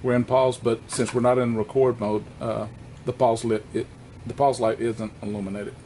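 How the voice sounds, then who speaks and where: A man presenting an unscripted hands-on equipment walkthrough in a plainspoken, halting way, correcting himself as he goes. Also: mid-range voice, flat delivery, dark tone, slight background noise.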